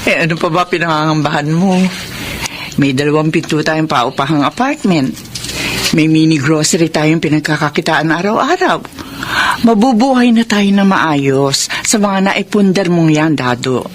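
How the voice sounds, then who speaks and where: An actress in a Filipino radio drama playing a supportive, practical wife who speaks in a soothing, reassuring manner. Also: female voice, deep voice, moderate pace, noisy background, lightly acted.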